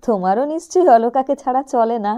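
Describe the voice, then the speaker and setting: Teasing young city nephew in an audio story, read by a woman; mellow, even tone. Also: fairly high pitch, brisk, voice pushed up, close to an everyday voice.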